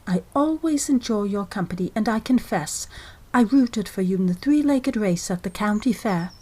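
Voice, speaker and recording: A woman voicing a proper, courteous young lady who patiently corrects and gently turns down a suitor, in an old-time radio drama. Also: medium pitch, animated, close to an everyday voice. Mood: kind. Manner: prim and courteous, measured and correcting.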